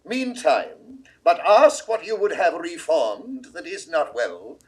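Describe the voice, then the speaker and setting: An imperious, guilt-ridden king in a 1960s Shakespeare radio play. The voice sits high and swoops widely, with slow, stately, drawn-out declamation. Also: very animated, breathy edge, heavily acted, rough recording. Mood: regal, measured. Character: proud, guilty English king, uneasy and imperious. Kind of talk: 1960s classical Shakespeare audio drama acting.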